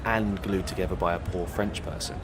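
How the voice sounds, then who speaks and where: A man's voice: deep voice, fairly even intonation, a little fast, background noise, close to an everyday voice. An actor playing a gullible, apologetic young man at a protest in a comic audio drama, tentative and earnest. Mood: bemused, earnest.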